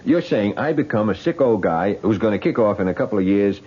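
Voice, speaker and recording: A man's voice: lowish voice, lively intonation, fairly quick, warm tone, husky. An actor playing a blunt, skeptical young man in a radio drama, talking colloquially and in disbelief. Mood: puzzled. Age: young adult. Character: skeptical, rough young man of twenty-eight. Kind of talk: 1970s radio drama acting. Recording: dull, hissy old radio recording.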